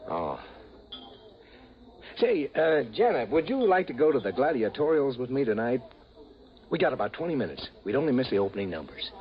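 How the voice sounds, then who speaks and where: Man acting a lively, hesitant smitten assassin, vintage radio. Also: medium pitch, a little fast, pauses often, natural voice. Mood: awkward, friendly. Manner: hesitant, stammering, earnest. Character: smitten, boyish male assassin from out of town. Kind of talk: 1950s radio drama acting. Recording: muffled, noisy old radio recording.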